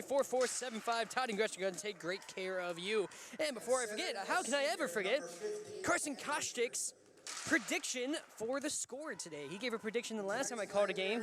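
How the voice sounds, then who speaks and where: A young man announcing a local high school hockey game, chatty and stumbling over numbers and names as he reads stats, sponsors and lineups. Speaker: play-by-play announcer. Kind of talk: local sports broadcast commentary.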